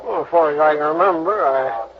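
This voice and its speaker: In a dull old radio recording, a working-class New York barman with a clear crowd around him. His voice is on the high side. He talks in a slow, hesitant, offhand way. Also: lively intonation. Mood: vague, offhand. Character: slow, vague working-class male bar counterman. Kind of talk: 1950s radio character acting.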